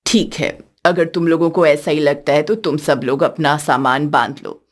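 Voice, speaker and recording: A woman's voice: low voice, fairly even intonation, moderate pace, pitched below the performer's own voice. A woman voicing a stern, weary older mother-in-law in a cartoon story, firm and admonishing. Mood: commanding. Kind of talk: Hindi cartoon story acting.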